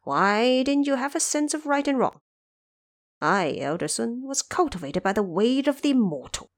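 A woman's voice: a little high, sing-song, normal speed, no echo, clean studio sound, voice pushed up. A woman voicing a defiant, boastful monkey warrior in an audio drama, with an imperious, challenging manner. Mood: defiant, demanding.

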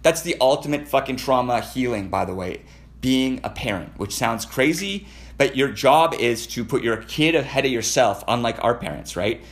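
A man's voice: medium pitch, lively intonation, normal speed. A Canadian man telling personal stories in casual conversation, profane, rambling and emotionally intense.

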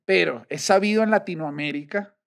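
Man, from Venezuela, high-pitched, gravelly, animated storyteller, casual chat. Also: fairly quick. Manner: animated storyteller, acts out quotes. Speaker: podcast co-host.

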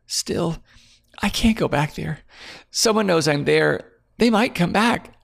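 A man's voice: fairly high pitch, animated, slow, creaky, voice pushed up, full character voice. A man voicing a frightened young woman client, pleading and sobbing as she talks.

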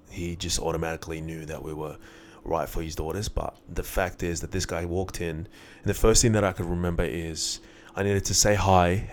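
A man's voice: low-pitched, darkish tone. A young man from Iraq who moved to Australia as a child, talking casually and candidly in a reflective personal monologue with slang and swearing. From born in Iraq, moved to Australia as a child. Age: young adult.

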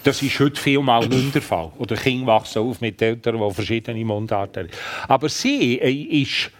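A man's voice: medium pitch, animated, moderate pace. An older man with a Bernese Swiss German accent, a guest reminiscing and explaining old rural words in casual conversation, with many restarts and tag questions. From Switzerland. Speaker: guest, writer on dialect.

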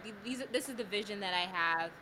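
A woman's voice: medium pitch, brisk, crowd noise, rough recording. A woman who grew up in the States, interviewing a guest in a chatty way, full of fillers. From United States. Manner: chatty, many fillers like "you know". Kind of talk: casual interview chat.

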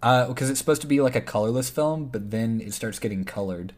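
A man's voice: medium pitch, brisk. A young man from Texas with a slight Texas twang, a podcast co-host in rambling casual chat. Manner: chatty, rambling, looks things up mid-talk.

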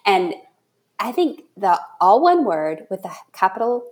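A woman's voice: medium pitch, sing-song, unhurried, a little mellow. Female podcast host from South Carolina, mid-range voice, enthusiastic and chatty, effusive delivery. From Charleston area, South Carolina. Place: podcast studio.

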